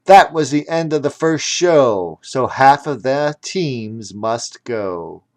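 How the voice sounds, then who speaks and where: Man acting a loud, blaring game referee. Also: medium pitch, expressive, slow. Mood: commanding.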